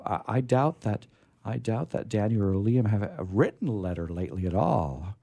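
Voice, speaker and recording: A male radio host chats casually and with real enthusiasm. His pitch moves up and down a great deal as he rambles along, circling back to repeat his own phrases. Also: animated, moderate pace, creaky, warm tone. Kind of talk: casual, chatty live radio hosting.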